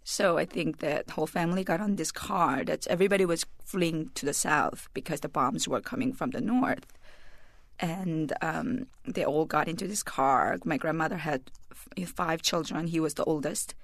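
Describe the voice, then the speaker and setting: Korean-accented woman in her forties, lowish voice, thinks out loud with lots of ums, dry close mic. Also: normal speed, no echo. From South Korea. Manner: reflective, many ums and restarts. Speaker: author and journalist guest. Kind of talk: radio interview.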